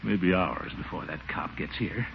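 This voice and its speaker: An actor playing a pompous, flustered middle-aged uncle in a 1940s radio comedy, blustering and muttering to himself. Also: male voice, low voice, a little slow, gravelly. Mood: nervous muttering. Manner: blustery, muttering, self-important. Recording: dull, noisy 1940s radio recording.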